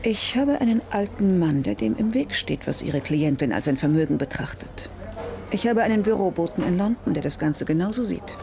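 An actress playing a tough, insistent prosecutor in a radio crime drama, firm and businesslike. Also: female voice, fairly low pitch, lively intonation, normal speed, gravelly, a crowd in the background. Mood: hard bargaining.

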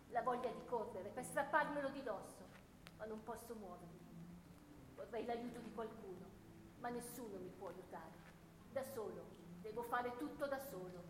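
A woman's voice: on the high side, moderate pace, lightly acted. A woman playing an anguished, sleepless and disillusioned soldier at war, declaiming solemnly in a theatrical radio play monologue. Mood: anguished, weary. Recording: echoey hall with clear background noise.

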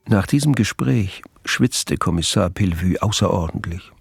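A wry storyteller narrating a radio play. His voice is gravelly with plenty of creak and sits fairly low, delivering scripted prose with irony. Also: lively intonation, moderate pace, no echo, studio quality, full character voice. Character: wry, ironic male literary storyteller.

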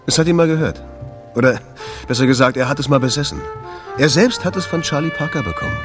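An actor playing an edgy jazz club owner under pressure, terse, nervous and informal in a radio drama. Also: male voice, fairly high pitch, huge pitch swings, quick pace, over music.

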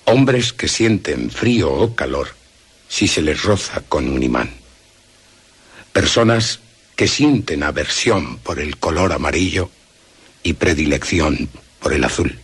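Super husky spanish guy, castilian accent, low voice, reading a script out dramatically. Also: expressive, slow pace. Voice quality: husky, rough. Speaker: reader of quoted passage.

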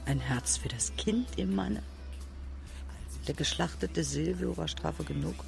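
A caustic female commissioner in a radio crime play. Her voice is lowish and gravelly with creak. She is blunt and sarcastic, over faint music. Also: expressive, unhurried, darkish tone, heavily acted. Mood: scornful. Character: gruff, caustic female police commissioner, world-weary partner. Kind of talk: naturalistic radio crime drama acting.

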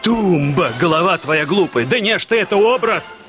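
A man's voice: sits high, animated, normal speed, a bit bright, soft background music. An actor playing a scheming, bossy old father in a melodramatic radio comedy. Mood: exasperated. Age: older. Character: scheming old father, excitable, eager to trap a suitor. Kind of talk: radio play comedy acting.

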